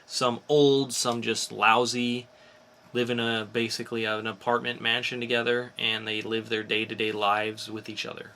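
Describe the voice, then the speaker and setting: A man's voice: mid-range voice, sharp tone. A man co-hosting a podcast, chatting casually and enthusiastically about entertainment news in an informal fan's way. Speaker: podcast co-host.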